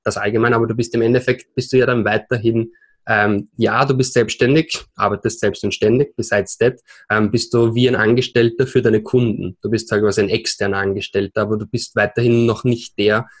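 A man's voice: lowish voice, little pitch movement, brisk. A man in his twenties from Vienna with an Austrian accent, a podcast guest who talks with many hesitations and mixes in English terms. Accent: Viennese Austrian German. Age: 20s. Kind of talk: podcast interview.

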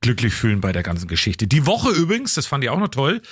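A man's voice: medium pitch, wildly swooping pitch, quick pace, slight background noise. A male morning radio host chatting casually with his co-host in a studio, joking and reading out listener entries. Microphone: studio microphone.

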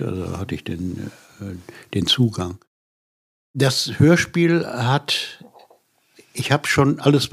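An older man from Germany reminiscing as an author in an interview, halting and reflective, often rephrasing mid-sentence. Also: medium pitch, gravelly, slightly rough. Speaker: radio play author being interviewed.

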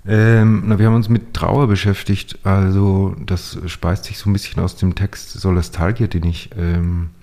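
Male interview guest, deep mellow voice, thoughtful and intellectual, halting as he reformulates. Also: little pitch movement. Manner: hesitant, searching, intellectual, often rephrasing mid-sentence. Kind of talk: conversational, reflective interview answers.

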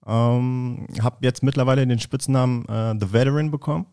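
A man's voice: mid-range voice, moderate pace, smooth. A man in his thirties from Berlin, a football player talking casually as a podcast guest, with frequent fillers and restarts. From Berlin, Germany. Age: 30s. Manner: relaxed, many fillers and restarts. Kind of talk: casual interview chat.

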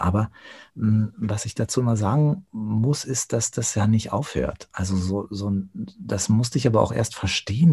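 A man speaking reflectively as an interview guest, thoughtful and often pausing to search for words. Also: on the low side, vocal fry, dark tone, slightly rough. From Germany.

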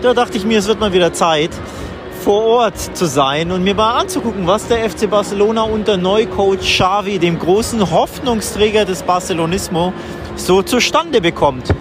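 A man's voice: sits high, moderate pace. A man giving casual live football commentary as a podcast host, chatting informally as he describes the scene. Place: outdoors in the stands of a large football stadium. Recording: outdoor stadium with crowd sound. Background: stadium crowd noise and music.

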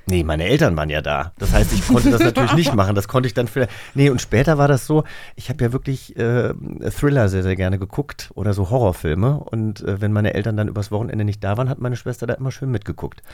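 A man's voice: lowish voice, lively intonation, fast talker, darkish tone, no room sound. Dry-sounding man podcast host. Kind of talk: casual chat and storytelling.

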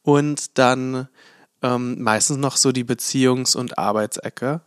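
A man's voice: medium pitch, fairly even intonation, slow, clear, resonant tone, no room sound, studio quality. A man co-hosting a podcast, chatting casually in a rambling way with many hedging words. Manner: rambling, many fillers and hedges. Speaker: podcast co-host. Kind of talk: casual chat.

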